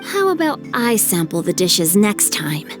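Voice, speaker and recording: A woman's voice: medium pitch, sing-song, unhurried, loud music behind the voice. A woman voicing a refined, teasing teenage boy in a video game, with polished, wry delivery. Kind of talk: dubbed video game cutscene dialogue.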